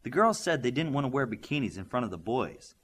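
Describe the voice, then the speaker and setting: A man's voice: mid-range voice, sing-song, fast talker, slightly rough. A man voicing a gracious, practical adult mother who hosts young superheroes in a storybook. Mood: reassuring.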